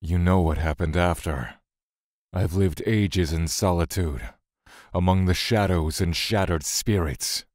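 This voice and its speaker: An actor playing a brooding, bitter male god in an audio drama, grieving and vengeful, speaking slowly with cold menace. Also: low voice, darkish tone, no room sound, clean studio sound. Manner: slow, deliberate, cold menace.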